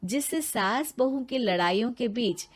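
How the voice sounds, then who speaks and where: Female narrator for animated tales: high, expressive pitch movement, scripted storytelling. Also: unhurried.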